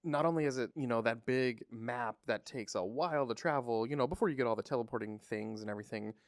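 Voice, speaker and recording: Male co-host, thirties, mid-pitched, excited and chatty, dry close mic. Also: quick pace, dry recording. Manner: enthusiastic, laughs often. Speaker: podcast co-host. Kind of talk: casual pop culture chat.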